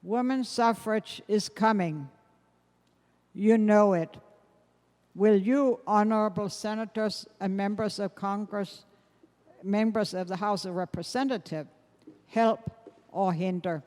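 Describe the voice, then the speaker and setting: In a reverberant hall, a woman playing an impassioned suffragist leader reads a formal speech to lawmakers with deliberate, emphatic delivery. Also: medium pitch, darkish tone, close to an everyday voice. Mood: firm, petitioning. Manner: deliberate, emphatic, declamatory, stumbling slightly over words. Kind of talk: readers theater oratory, read from script. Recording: echoey hall with long reverb.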